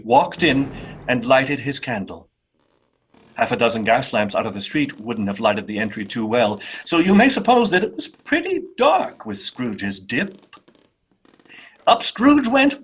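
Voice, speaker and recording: Male narrator for radio drama, mid-pitched and husky, expressive storytelling with deliberate phrasing.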